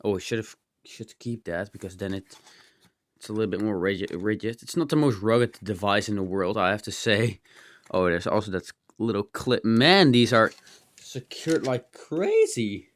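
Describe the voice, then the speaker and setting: A man's voice: lowish voice, moderate pace. Man muttering through a casual hands-on commentary. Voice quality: dry, strained throat. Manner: rambling, muttered asides, mild exclamations. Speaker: host of a hobby electronics teardown video. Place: indoors in a cluttered room at home.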